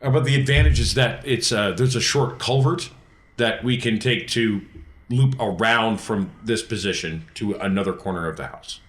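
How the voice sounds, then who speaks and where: A man playing a tabletop game, chatting casually in a joking, halting way with a roomy echo around him. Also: on the low side, a bit bright. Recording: roomy echo, faint music behind.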